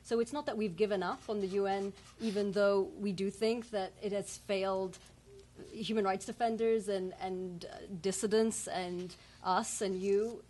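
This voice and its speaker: A woman from a human rights group answering reporters, earnest and deliberate. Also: mid-range voice, fairly even intonation, dark tone, low-quality recording. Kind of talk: press conference answer. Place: press briefing room.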